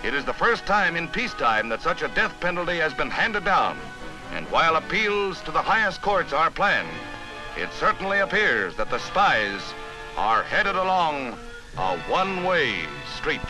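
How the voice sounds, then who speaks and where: Male American newsreel narrator, early fifties style. Dramatic scripted delivery, fairly high voice, music underneath. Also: very animated, normal speed, rough recording. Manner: formal, emphatic, dramatic announcer delivery. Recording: vintage newsreel soundtrack with music.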